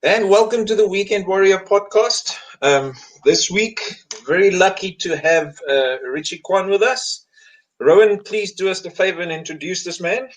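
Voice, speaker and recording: A man co-hosting a podcast, who asks relaxed, short follow-up questions. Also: sits high, normal speed, low-quality recording. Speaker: podcast co-host. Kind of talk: casual interview chat.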